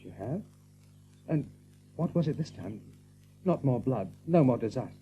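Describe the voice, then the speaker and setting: A male actor playing a steady, protective, worried rabbit leader in a hushed, earnest manner. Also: medium pitch, normal speed, lightly acted. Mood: concerned. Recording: tinny narrow-band audio with hum.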